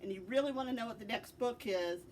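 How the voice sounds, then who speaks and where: A woman's voice: fairly high pitch, fast talker, darkish tone. American woman recommending books, noisy recording. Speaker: librarian host. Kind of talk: informal book recommendation talk to camera.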